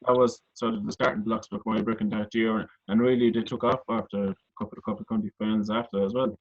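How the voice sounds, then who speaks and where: Quick-talking Irish man hosting sports radio. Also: lowish voice, level tone. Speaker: radio sports show host. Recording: slightly dull lo-fi radio sound.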